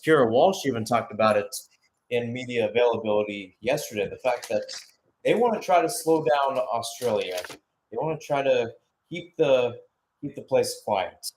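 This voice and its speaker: Man calling soccer play-by-play. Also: medium pitch. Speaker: play-by-play commentator. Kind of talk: live radio-style soccer commentary.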